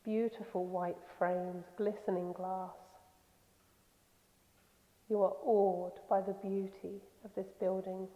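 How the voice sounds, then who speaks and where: A woman leading a guided meditation in a soothing, gentle, unhurried manner with pauses. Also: mid-range voice, level tone. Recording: echoey long reverb, slightly dull sound.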